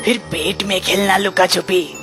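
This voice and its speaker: A woman's voice: medium pitch, little pitch movement, a little slow, a bit bright, natural voice. A woman voicing a sly, menacing male wolf in a children's cartoon, with a taunting, boastful manner. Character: sly, menacing male wolf who hunts goat kids. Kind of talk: children's cartoon story voice acting. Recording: music behind the voice.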